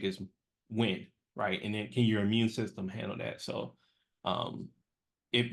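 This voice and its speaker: A young man hosts a casual podcast talk. His voice is fairly low. He rambles easily, with plenty of "like" and "um.". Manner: conversational, many fillers like "like" and "um". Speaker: podcast host.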